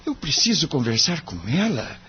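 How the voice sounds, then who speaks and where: A courteous young lawyer from an audio drama, played by a man. His voice is a little high and slightly husky, with formal, deferential phrasing. The sound is somewhat muffled. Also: sing-song, moderate pace, pushed and bright, heavily acted. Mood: puzzled, insistent. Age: young adult.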